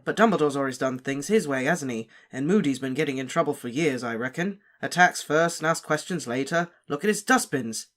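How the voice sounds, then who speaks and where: A man's voice: a little high, lively intonation, brisk, few pauses, a bit bright. A man voicing an easygoing, chatty teenage schoolboy. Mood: casual, chatty. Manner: casual, chatty.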